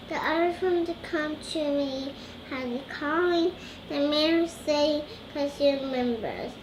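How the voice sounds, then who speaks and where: A young girl reading a storybook aloud haltingly, stumbling over words and repeating phrases. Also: high voice. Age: child. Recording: roomy echo with clear background noise.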